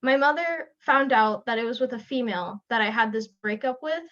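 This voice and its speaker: A young woman from South Florida gives a personal narrative speech over a video call, earnest, pausing and restarting now and then. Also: fairly high pitch, fairly bright tone. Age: young adult. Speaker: student speaker. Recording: lo-fi online call audio.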